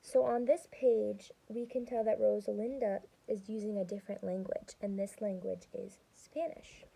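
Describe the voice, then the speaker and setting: A young woman reads a children's story aloud in a very soft-edged, dark tone with noticeable vocal fry. She is expressive and steps out of the tale to explain things to young listeners. Her pitch sits in the middle for a woman. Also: moderate pace, poor audio. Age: young adult. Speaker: student reading aloud to children. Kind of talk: storybook read-aloud with teaching asides.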